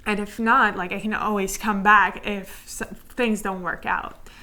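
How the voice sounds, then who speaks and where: Young woman from Iran, Armenian first language, chatty interviewee, mid pitch. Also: steady tone, measured pace, pushed and bright. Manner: chatty, with frequent "like" fillers and restarts. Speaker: interviewee, structural engineer. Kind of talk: casual interview storytelling.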